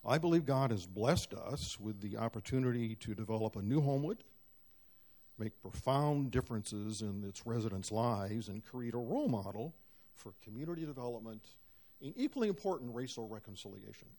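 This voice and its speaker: A man presenting a community project to a congregation in measured, hopeful prepared remarks. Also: mid-range voice, expressive, a little mellow. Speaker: church member presenting a project.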